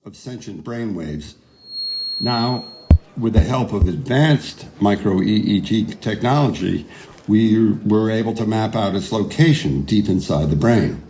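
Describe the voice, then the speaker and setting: An actor playing an earnest, idealistic neuroscientist in an audio drama, speaking in a measured, deliberate way. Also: male voice, lowish voice, noisy background. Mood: measured, grave. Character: earnest idealistic male neuroscientist, defiant under pressure. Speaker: neuroscientist, lead character. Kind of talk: audio drama narration and dialogue.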